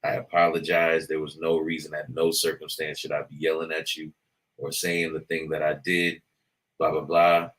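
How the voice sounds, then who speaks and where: A man with a big, booming voice, speaking very low in pitch yet with a bright, forceful ring. He is a podcast guest in casual discussion and works through his points in a thoughtful, measured way. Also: monotone, rough recording.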